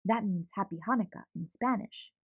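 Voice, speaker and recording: A woman voicing a cheerful adult aunt who teaches photography, speaking in an eager, lively way. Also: mid-range voice, moderate pace, vocal fry, breathy edge, dry recording. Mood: friendly.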